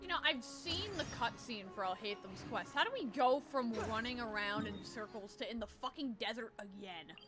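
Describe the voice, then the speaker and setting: A woman's voice: fairly high pitch, lively intonation, normal speed, few pauses. A woman hosting a gaming video with casual, sarcastic commentary, swearing and muttering to herself. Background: video game music.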